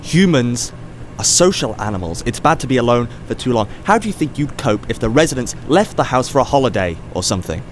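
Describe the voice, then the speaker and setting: A man acts out an inquisitive ethics professor with a medium-pitched voice. He is conversational and probing, feeling his way through his questions. It sounds like an audio drama, with faint city ambience behind him. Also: lively intonation, a little fast, a little hiss or hum, natural voice. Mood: fascinated, thoughtful. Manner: conversational, probing, hesitant restarts.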